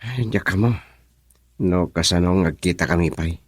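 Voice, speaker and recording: An actor with a Filipino accent playing a modest, easygoing young farmer in a radio drama. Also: male voice, deep voice, normal speed, dry recording, studio quality. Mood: reluctant, bashful.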